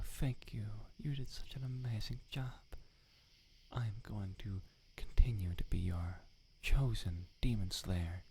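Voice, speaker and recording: A man playing a prickly, secretly smitten swordsmith in a soft, half-whispered ASMR roleplay, halting and teasing as he talks. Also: on the low side, a little hiss or hum. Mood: pleading, bashful. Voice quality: breathy, gravelly, often half-whispered. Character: tsundere male swordsmith, prickly yet flustered, secretly smitten.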